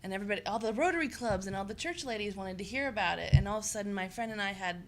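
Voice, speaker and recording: A woman's voice: medium pitch, very fast. A woman from rural Nebraska answering interview questions about her development work in a thoughtful, earnest way. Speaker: guest, development worker.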